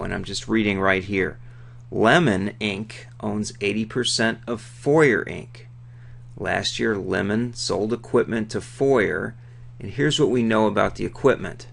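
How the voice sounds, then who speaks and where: A man with a gravelly, creaky voice giving an informal tutorial as an instructor, explaining conversationally and thinking aloud. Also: on the low side, normal speed, a bit bright. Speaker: accounting instructor. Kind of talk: informal tutorial, walking through a worked problem.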